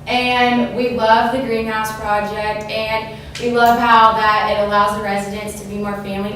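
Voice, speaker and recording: A woman's voice: mid-range voice, slight background noise. Young woman presenting in an echoey hall. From Georgia, US. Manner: plain, steady listing of points. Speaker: student presenter. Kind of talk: class presentation. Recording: echoey room from far off.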